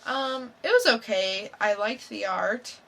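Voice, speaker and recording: Slow-paced, bright-voiced woman chatting. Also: mid-range voice, vocal fry, poor audio. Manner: halting, unsure, thinking aloud. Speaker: podcast co-host reviewing comics. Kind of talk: casual, unscripted review chat.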